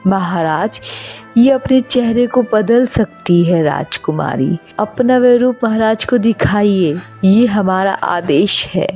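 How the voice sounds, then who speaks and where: Woman as an imperious, slow-speaking cartoon queen. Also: mid-range voice, darkish tone, soft background music. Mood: insistent. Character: imperious, indignant queen, middle-aged mother. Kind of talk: Hindi cartoon fairy-tale dialogue.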